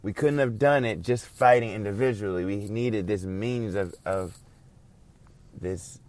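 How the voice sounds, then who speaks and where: A man's voice: lowish voice, unhurried, a little mellow. A man preaching an informal sermon, earnest and reflective, repeating and building on his phrases.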